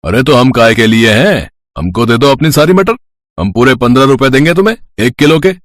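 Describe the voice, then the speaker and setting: A man's voice: mid-range voice, animated, brisk, no room sound, studio quality, natural voice. A man voicing a greedy, scornful politician who bullies villagers in a moral-story cartoon. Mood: oily, pushy.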